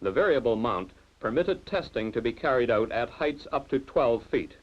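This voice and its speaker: A man gives measured, formal narration for a technical film, his voice sitting at an ordinary middle pitch. The sound is dull and lo-fi, like an old film soundtrack. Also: expressive. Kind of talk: scripted documentary narration.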